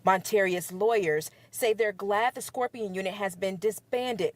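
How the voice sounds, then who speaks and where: A woman's voice: medium pitch, unhurried, slight background noise. An American woman reading a scripted television news report.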